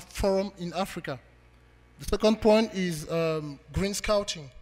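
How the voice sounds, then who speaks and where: A young man from Cameroon, giving a halting campaign speech at a podium mic in a large conference hall, with frequent ums and restarts. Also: high voice. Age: young adult. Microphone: podium microphone. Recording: long hall reverb.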